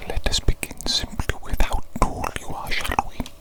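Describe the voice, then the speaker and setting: Man whispering as a fussy evil doctor. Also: lightly acted. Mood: fussy, coaxing. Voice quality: soft unvoiced whisper. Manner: slow, repetitive, fussy and precise. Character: fussy, pedantic male evil doctor scientist. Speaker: ASMR roleplay creator. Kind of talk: whispered ASMR roleplay.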